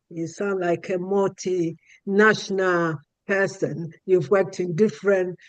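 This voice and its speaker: A man hosting an interview who asks questions in a soft, encouraging way, stopping and restarting his sentences. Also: sits high, no room sound, dull audio. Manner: softly encouraging, halting with restarts. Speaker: podcast host.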